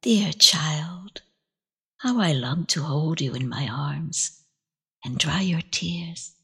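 A hushed, whispery grandmother's spirit, gentle and tender, as a woman reads her in a storybook. She speaks slowly and softly. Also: deep voice, creaky, slightly rough, full character voice.

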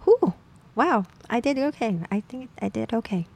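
A woman's voice: fairly high pitch, big pitch swings, normal speed, darkish tone, husky, no room sound. A woman who owns a comic shop, speaking as a guest in a casual interview, hesitant and searching for words.

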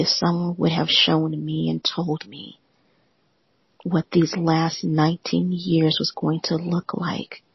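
A host of a live audio room giving a gentle, reassuring motivational talk in a soothing, often whispery voice. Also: medium pitch, creaky, slightly rough, dry recording, lo-fi sound.

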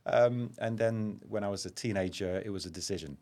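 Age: middle-aged. Sex: man. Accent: slight London. Pitch: very low. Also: fairly quick, darkish tone. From Zaire and England. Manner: anecdotal, self-deprecating, with frequent ums. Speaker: guest, vascular surgeon. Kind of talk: relaxed conversational storytelling.

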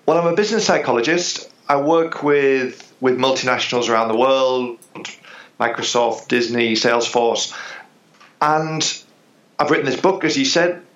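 A man's voice: medium pitch, normal speed, a bit bright, echoey room, muffled recording. A man speaking as a business psychologist guest, explaining his ideas thoughtfully with hesitations. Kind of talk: interview answers.

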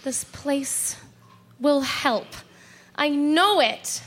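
A very high-pitched, doting woman. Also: very slow, fairly bright tone, slight background noise, full character voice. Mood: reassuring. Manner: gushing, reassuring. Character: bright-eyed, doting woman delighted by her rustic cottage. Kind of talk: horror audio drama acting.

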